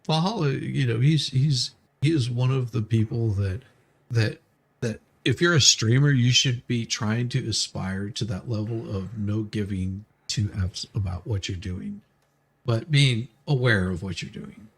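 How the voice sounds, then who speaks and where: Gravelly man in casual chat. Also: medium pitch, a little slow. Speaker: live stream co-host.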